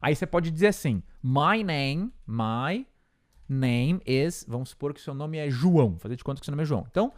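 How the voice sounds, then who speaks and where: A man with a Brazilian Portuguese accent gives a patient, methodical English lesson to camera. His pitch swings widely and animatedly as he lays out each point. He keeps checking in with quick tag questions, as if pointing at notes on a board. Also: expressive. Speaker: English teacher. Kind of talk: explanatory video lesson.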